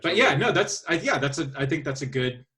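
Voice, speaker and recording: A man hosting a podcast in casual chat, talking in a halting, thinking-aloud way. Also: fairly high pitch, expressive, bright, forceful tone. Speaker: podcast host.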